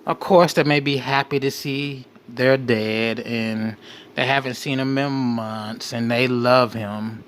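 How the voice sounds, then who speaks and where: A man hosting a crime commentary, reading out case details in a halting way and often repeating his phrases. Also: medium pitch, steady tone, fairly bright tone, clear, resonant tone. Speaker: show host.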